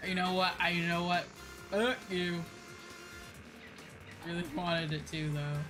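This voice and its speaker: Low-pitched woman streamer chatting over clear music. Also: steady tone, measured pace. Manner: informal, frequently swearing, rambling with asides. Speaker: livestreamer, VTuber host. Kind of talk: casual chatty gaming stream talk. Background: music behind the voice.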